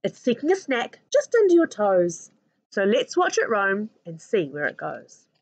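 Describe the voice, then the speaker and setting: Calm, reassuring storybook mum read by a woman, New Zealand accent, lively lilt, rhyming explanations. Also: mid-range voice, a little slow, husky, no echo, full character voice. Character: calm, reassuring mother explaining sea life.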